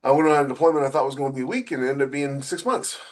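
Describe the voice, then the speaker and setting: A man chatting casually as a podcast co-host and author about his own work, haltingly thinking aloud and repeating phrases as he goes. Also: medium pitch.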